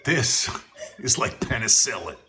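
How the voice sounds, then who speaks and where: A man's voice: mid-range voice, animated, unhurried, crowd noise. A man giving a casual, unscripted equipment demonstration as a radio hobbyist, informal and a bit hesitant as he thinks aloud.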